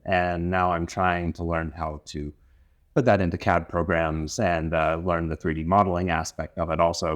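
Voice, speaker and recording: A man in his thirties, originally from North Carolina, speaks with a deep, low voice. He answers interview questions about his work as an instrument maker in a relaxed, thoughtful way, often pausing with "uh" to gather his words. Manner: easygoing, thoughtful, lots of "uh" pauses.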